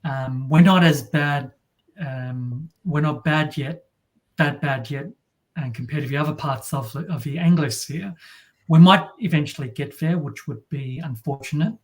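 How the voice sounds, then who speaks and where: A woman from Australia with a deep voice, talking as an interview guest in a wry, halting way with many restarts. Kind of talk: casual interview talk.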